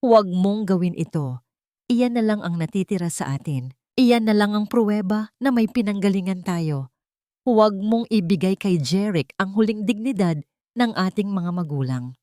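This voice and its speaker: A woman's voice: mid-range voice, lively intonation, moderate pace, warm tone, no room sound, studio quality, lightly acted. A woman voicing an anguished older sister who pleads emotionally in an audio story. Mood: desperate pleading.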